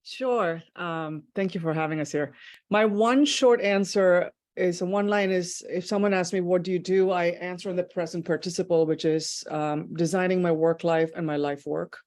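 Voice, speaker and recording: A woman's voice: on the low side, steady tone, moderate pace, a little mellow. A woman taking part in an online workshop, speaking thoughtfully over a video call and searching for her words. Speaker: workshop participant. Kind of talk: reflective personal sharing.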